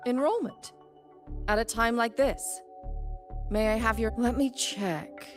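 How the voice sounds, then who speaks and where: A woman's voice: fairly high pitch, slow pace. A woman voicing a stern, dutiful female academy staff member in an anime-style video game, businesslike and reproving. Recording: music behind.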